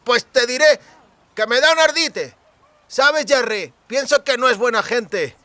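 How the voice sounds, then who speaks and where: Audiobook role: boisterous drunk country lad, very high strained voice, bright and forceful, rustic speech. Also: male voice, a little slow, no room sound, full character voice. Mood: indignant, gossiping. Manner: exclamatory, colloquial rustic speech with clipped words. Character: drunken, boisterous young cooper, rustic and friendly.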